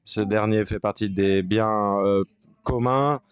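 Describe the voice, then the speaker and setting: Low, dry-voiced male conference interpreter. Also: fairly even intonation, clean tone, dry recording, dull audio. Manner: halting delivery with frequent pauses and restarts. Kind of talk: simultaneous interpretation of a panel discussion.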